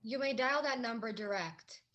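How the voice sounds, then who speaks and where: An actress playing a polite but unhelpful telephone operator, deliberate and detached, in a 1940s radio drama style. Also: female voice, fairly high pitch, lo-fi sound, heavy background noise, lightly acted.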